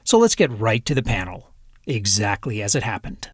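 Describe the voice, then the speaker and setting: A man's voice: on the low side, very animated, moderate pace, slightly rough, no room sound, studio quality. A man in his fifties who hosts a podcast and moderates a panel on stage at a conference, upbeat and talkative, now and then repeating words as he starts.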